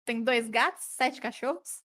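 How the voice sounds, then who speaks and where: A woman's voice: a little high, moderate pace, fairly bright tone. A woman in her thirties from São Paulo, co-hosting a podcast with wry, teasing humor. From São Paulo, Brazil. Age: 30s. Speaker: podcast co-host. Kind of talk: casual podcast chat.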